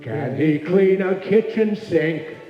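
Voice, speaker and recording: High male jingle singer, very echoey. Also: animated, takes it slowly. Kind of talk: singing an old advertising jingle. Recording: dull, very echoey live hall. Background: music.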